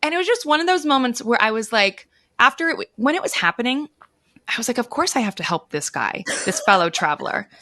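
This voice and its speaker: Bright-voiced woman telling stories, dry sound. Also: on the high side, sing-song, a little fast, no room sound. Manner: emphatic, informal, acts out quoted speech. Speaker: podcast guest, travel writer. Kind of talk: animated casual storytelling.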